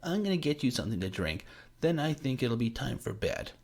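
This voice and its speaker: Whiny male kidnapper, high and reedy, sulky and tearful, read by a man. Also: sing-song, brisk, creaky, a little mellow. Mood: falsely calm. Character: whiny greasy male captor, self-pitying.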